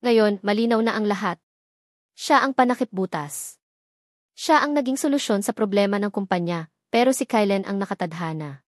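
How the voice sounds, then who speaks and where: A woman storyteller reading a dramatic romance aloud, steady and scripted. Her voice sits at a middle pitch and is recorded very dry and close, with no room sound. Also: normal speed, pauses often, no echo.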